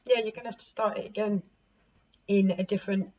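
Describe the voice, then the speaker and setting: A hoarse woman in her forties giving a casual tarot reading to camera, chatty and laughing at herself as she repeats and restarts. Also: mid-range voice, steady tone, brisk, a little mellow. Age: 40s. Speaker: tarot reader. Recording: dull lo-fi recording with faint noise.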